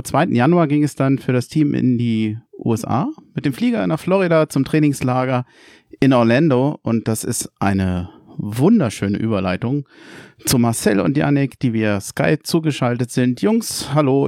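A man's voice: mid-range voice, animated, normal speed, gravelly, a little mellow, dry recording, clean studio sound. A man hosting a podcast and chatting casually with guests on a call, asking them friendly questions. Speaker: podcast host.

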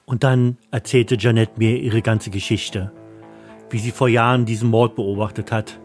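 A man's voice: on the low side, steady tone, moderate pace. A man narrates a scripted audio thriller in the first person. He is the storyteller of the play, speaking over a bed of music. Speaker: narrator and voice actor of all parts.

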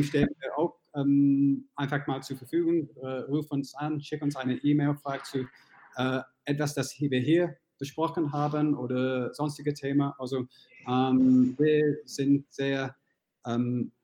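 British man speaking halting German. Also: mid-range voice, flat delivery, dark tone, a little hiss or hum, rough recording. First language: English. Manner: halting, searching for words, many fillers; non-native grammar slips. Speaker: founder of a financing startup, guest. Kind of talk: podcast interview.

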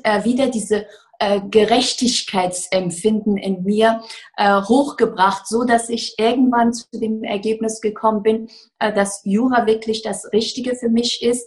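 A woman, a defense lawyer giving earnest, hesitant interview answers full of fillers. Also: medium pitch. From Afghanistan.

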